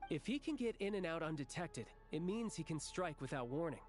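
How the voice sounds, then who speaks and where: Male actor as genteel young schemer, higher voice, theatrical, teasing, articulate, video game dialogue, faint music. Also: expressive, fairly quick, natural voice. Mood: measured, explaining. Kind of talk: video game character acting.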